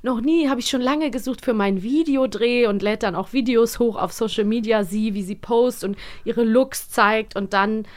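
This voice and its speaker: A woman's voice: a little high, moderate pace, hardly any pauses. A German woman hosting a podcast, chatting casually and laughing often as she retells a story. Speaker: podcast host reviewing radio plays. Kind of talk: casual chat.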